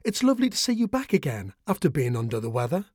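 A man's voice: a little high, sing-song, fast talker, slightly rough, no room sound, studio quality. A man voicing a kind, cheerful female kangaroo in a bedtime storybook, friendly and encouraging.

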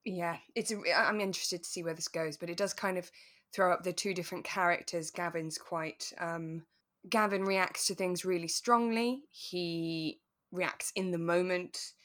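A woman's voice: on the low side, steady tone, moderate pace, a bit bright. Reflective woman co-hosting a chat podcast. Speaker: podcast co-host. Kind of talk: casual chat reviewing a radio drama.

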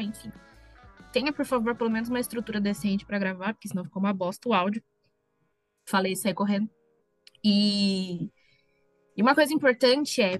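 Higher-voiced Brazilian woman in blunt chat. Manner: blunt, slangy, emphatic. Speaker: podcast panelist. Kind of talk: casual group chat.

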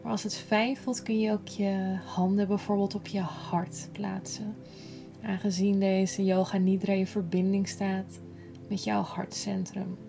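A woman guiding a yoga nidra relaxation in a gentle, soothing, unhurried manner, breathy at times. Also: mid-range voice, flat delivery, over music. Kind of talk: slow guided relaxation meditation.

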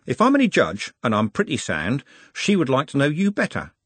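A man playing a cocky young lad in a storybook, breezy and slangy, his pitch bouncing around a lot. The voice is husky and breathy and close to the mic. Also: wildly swooping pitch, a little fast, no echo. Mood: cheeky. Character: cheeky young male city lad, cocky and friendly.

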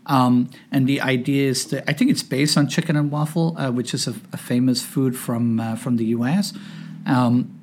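A man's voice: medium pitch, fairly even intonation, normal speed, a little hiss or hum. A man in his fifties, born in Northern Ireland and raised in Hong Kong, telling jokey, self-deprecating stories as a guest on a casual podcast. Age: 50s.

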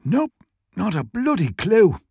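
A man voicing a burly, irritable Irish shopkeeper in a short story, wheezy and gruff in his complaining. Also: high-pitched, wildly swooping pitch, slow pace, mellow, dry recording, clean studio sound, voice pushed up, full character voice. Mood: baffled.

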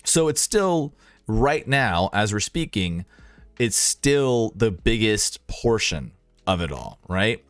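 A man's voice: lowish voice, big pitch swings, slow, clean tone, no echo, soft background music. A man hosting a casual podcast chat about streaming, giving opinions and repeating himself for emphasis.

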